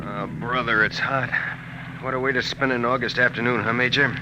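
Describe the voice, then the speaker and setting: An actor playing an easygoing, weary army corporal who grumbles casually in a vintage radio drama. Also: male voice, mid-range voice, steady tone, fairly quick, lightly acted. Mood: weary, grumbling. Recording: noisy old radio recording with outdoor ambience.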